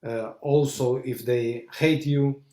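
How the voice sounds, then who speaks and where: Halting man, echoey room. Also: medium pitch, steady tone, mellow. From Argentina. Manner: halting, searching for words, many fillers and restarts. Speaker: rabbi. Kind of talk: conversational discussion, switching between English and Portuguese.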